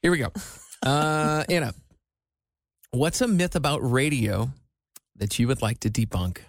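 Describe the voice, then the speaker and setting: A man radio co-host in casual podcast chat, with a slightly nasal voice and an easygoing, animated storytelling manner. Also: mid-range voice, measured pace, no room sound, studio quality. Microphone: studio microphone.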